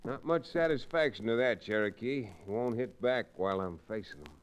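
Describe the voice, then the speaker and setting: Guy acting low voiced western radio hero, calm drawl, dry taunts, old radio recording. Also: normal speed, close to an everyday voice. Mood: wry, taunting. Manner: calm, dryly taunting, folksy. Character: cool, wry frontier hero, plainspoken rancher.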